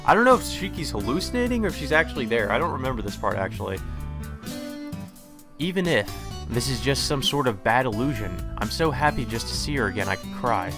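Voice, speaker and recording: A man's voice: medium pitch, big pitch swings, a little fast. Young man reading a visual novel. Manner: earnest, self-correcting reading. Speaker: let's play narrator. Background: guitar music.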